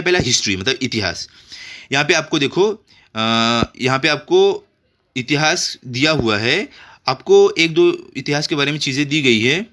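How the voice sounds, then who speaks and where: A man's voice: on the high side, bright, forceful tone, slight background noise. A man with an Indian accent explaining a school lesson to children, instructively, with frequent rhetorical questions and check phrases. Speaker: school tutor. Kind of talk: explanatory lesson for children, reading textbook and translating.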